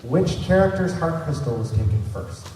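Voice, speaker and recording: A man's voice: fairly high pitch, very animated, normal speed, a little mellow. A man hosting a fan convention panel, talking informally and a bit ramblingly to a live audience in a big hall. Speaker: panel host running a fan convention trivia session. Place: large indoor convention hall. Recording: very echoey hall from far off, noisy. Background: room ambience and noise.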